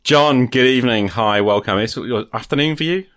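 A man's voice: medium pitch, moderate pace, fairly bright tone, no echo, studio quality. A man hosting a podcast, chatting in a casual, off-the-cuff way. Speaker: podcast host and player.

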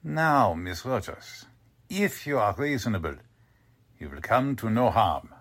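An actor playing a menacing, formally polite army officer from the Nazi era, in a 1940s-style radio drama. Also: male voice, lowish voice, sing-song, a little slow, fairly bright tone, slight background noise, full character voice. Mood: coolly polite. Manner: formal, imperious, mock-polite.

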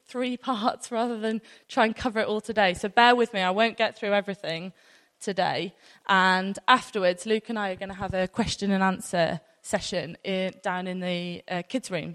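British woman preaching in an echoey hall. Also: medium pitch. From near North Wales, UK. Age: 30s. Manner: conversational, anecdotal, with rhetorical questions. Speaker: church speaker. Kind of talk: informal sermon to a congregation. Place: large room, live talk to an audience. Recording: echoey hall with long reverb.

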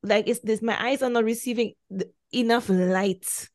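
A woman co-hosting a podcast who chats in a casual, animated way and paints pictures as she talks. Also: fairly high pitch, normal speed, no echo, clean studio sound. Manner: animated, expressive, builds on images. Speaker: podcast co-host. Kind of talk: casual chat. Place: home, remote call.

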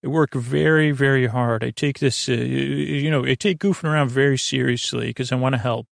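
A man hosting a bedtime podcast, talking in a casual, meandering, halting way with goofy, reassuring asides and a gravelly voice. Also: mid-range voice, steady tone, dry recording, clean studio sound. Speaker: podcast host.

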